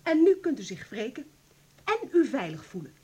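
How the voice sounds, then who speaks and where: A woman's voice: sits high, very animated, normal speed, darkish tone, husky, full character voice. A woman acting in a Dutch radio play as an impassioned, insistent nurse who accuses and reproaches. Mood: bitter accusation.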